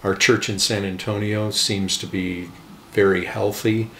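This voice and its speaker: A man's voice: lowish voice, flat delivery, a little slow, a bit bright, echoey room, slight background noise. A middle-aged American man, a pastor, talking earnestly in an interview in a living room. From United States. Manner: earnest, emphatic, gestures with open hands. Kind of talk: documentary interview.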